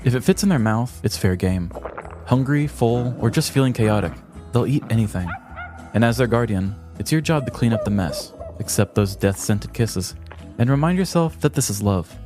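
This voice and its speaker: A man's voice: mid-range voice, lively intonation, normal speed. A man podcast host reading a scripted, wry comedic true-crime story. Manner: wry, crude descriptive humor. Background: background music.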